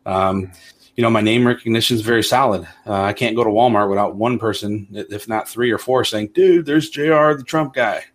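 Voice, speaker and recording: Rambling, low-voiced man. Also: brisk. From Toledo, Ohio. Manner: rambling, frequent fillers and restarts. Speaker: congressional candidate. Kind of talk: casual interview answers.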